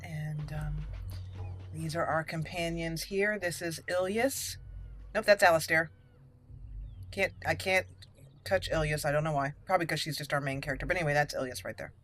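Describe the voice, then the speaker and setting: A woman's voice: low-pitched, steady tone, normal speed, fairly bright tone. A woman hosting a gaming video, chatting casually and thinking aloud as she roleplays. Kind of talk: casual roleplay game commentary.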